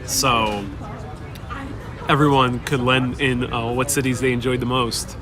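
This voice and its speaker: A man's voice: mid-range voice, flat delivery, a little slow. A young man whose first language is Spanish, hosting a casual livestream and reading viewer questions aloud as he chats outdoors. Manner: reads viewer questions aloud and rambles warmly. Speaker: livestream host. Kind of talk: casual live-stream chat. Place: outdoors on a busy city street. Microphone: wireless clip-on mic. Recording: outdoor street with clear crowd noise. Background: street crowd and passing traffic.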